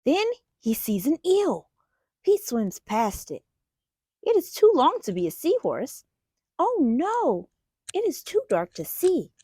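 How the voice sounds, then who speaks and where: A woman's voice: sits high, huge pitch swings, takes it slowly, mellow, dry recording, studio quality. A woman hosting a children's storytime, reading a picture book aloud expressively and putting questions to young listeners. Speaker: children's storytime host and reader.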